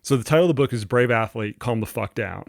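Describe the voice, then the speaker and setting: A man hosting an interview podcast, relaxed and rambling, with many likes and restarts. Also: medium pitch, fast, creaky, dry recording, studio quality. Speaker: podcast host and coach. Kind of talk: casual interview chat.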